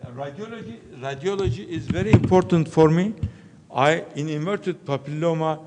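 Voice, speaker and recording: A man, a surgeon, answering a panel question in a halting way, searching for words. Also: fairly high pitch, background noise. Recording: long reverb of a conference hall.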